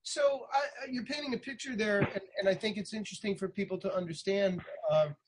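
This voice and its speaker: A man hosting a casual interview, feeling his way into questions haltingly and repeating words. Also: high voice. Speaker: interviewer, host. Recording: faint background noise.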